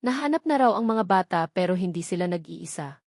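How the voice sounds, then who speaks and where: A Filipino woman playing a calm, hesitant village captain in an audio drama, measured and cautious as they give news. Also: fairly low pitch, dry recording, studio quality.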